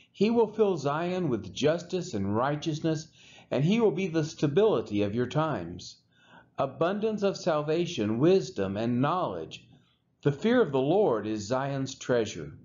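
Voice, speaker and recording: A man reading scripture aloud in a measured, unhurried way, as the regular reader of a daily series. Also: mid-range voice, muffled recording. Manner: measured, expressive rises and falls.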